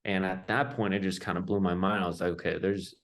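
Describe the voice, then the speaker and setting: A young man with a low-pitched voice. He talks as an interview guest, telling stories in a loose, informal, conversational way. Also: flat delivery, quick pace. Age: young adult. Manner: informal, loose phrasing peppered with "like" and "you know". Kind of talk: casual conversational storytelling.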